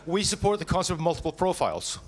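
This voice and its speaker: A Scottish man giving a live startup pitch to an audience, jokey and punchy, asking and answering his own questions. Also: very animated, fast talker. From Scotland.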